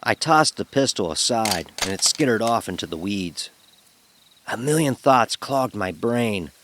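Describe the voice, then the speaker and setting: A man telling a first-person horror story in a dramatic, expressive narrating style. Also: fairly low pitch, a little slow, slight background noise. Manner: expressive, acts out dialogue.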